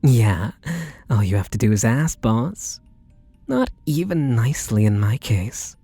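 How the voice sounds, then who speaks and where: A man's voice: medium pitch, big pitch swings, measured pace, dark tone, husky, no echo, soft background music, heavily acted. A man playing an eager, submissive, lovestruck butler who gushes earnestly and pleadingly in an intimate ASMR roleplay.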